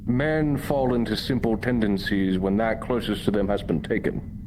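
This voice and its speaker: A man's voice: on the low side, fairly even intonation, normal speed, background noise, close to an everyday voice. A man playing a grave war preacher in a fantasy abbey roleplay, speaking in formal, sermonizing language over live online voice chat. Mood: solemn, preaching. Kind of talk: improvised fantasy roleplay, archaic formal speech.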